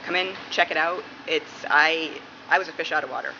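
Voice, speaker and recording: Woman, gravelly creak, casual interview answers, dull recording with noticeable background noise. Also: low-pitched, steady tone, fairly quick. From Massachusetts, United States. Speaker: interviewee, police dispatcher. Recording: muffled, clearly noisy room recording.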